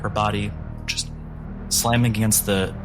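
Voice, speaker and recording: A man running a tabletop game as game master, narrating scenes in a measured, descriptive way and calling for rolls. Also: on the low side, level tone, background noise. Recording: loud music score underneath.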